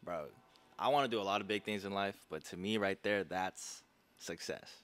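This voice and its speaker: A man's voice: low voice, moderate pace, fairly bright tone, no room sound. A man in his twenties hosting a podcast, talking in a casual, slangy, playfully teasing way. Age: 20s. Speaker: podcast host. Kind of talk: casual interview chat.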